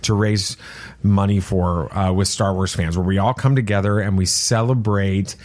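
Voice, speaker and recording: A man's voice: lowish voice, fairly even intonation, normal speed, darkish tone. Chatty middle-aged male podcast host. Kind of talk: chatty, promotional pop culture podcast talk.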